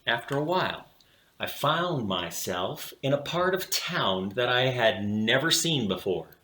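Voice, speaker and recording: Slow, animated male storyteller in echoey room. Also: mid-range voice, a little hiss or hum. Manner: theatrical, with deliberate dramatic pauses. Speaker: storybook reader for children. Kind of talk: expressive read-aloud of a children's picture book. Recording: roomy, echoing room sound.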